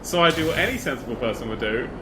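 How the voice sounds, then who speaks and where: A man's voice: mid-range voice, lively intonation, very fast, background noise. A man telling stories casually and comically as a livestream co-host, swearing and restarting his phrases. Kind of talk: casual comic storytelling and banter.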